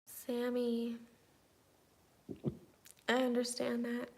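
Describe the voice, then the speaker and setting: A woman's voice: on the high side, monotone, drawn-out words. A woman in her thirties chatting easygoingly on a livestream, reading out and replying to viewer comments. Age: 30s. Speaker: livestream host. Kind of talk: casual live chat answering viewer comments.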